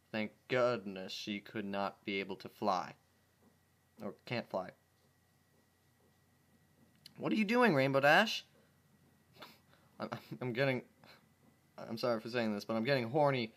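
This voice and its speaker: A man's voice: medium pitch, fairly bright tone. A man narrating fan fiction as an amateur reader, informally, with exasperated casual asides and stumbles over his words.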